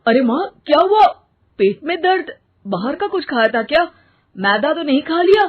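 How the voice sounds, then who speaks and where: A woman's voice: high voice, big pitch swings, moderate pace. A woman voicing a concerned grown son who questions and reproaches his family in a moral story. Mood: worried scolding. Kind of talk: acted moral story dialogue. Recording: muffled, phone-like narrow band.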